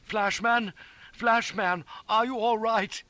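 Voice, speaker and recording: A panicking cavalryman in an audiobook adventure. His voice is very high and sharp, strained with pain. He whines and blusters, cursing shrilly and full of self-pity. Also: takes it slowly, gravelly, no echo, heavily acted. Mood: urgent. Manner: shrill, whining, blustering, babbling. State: crying out in pain.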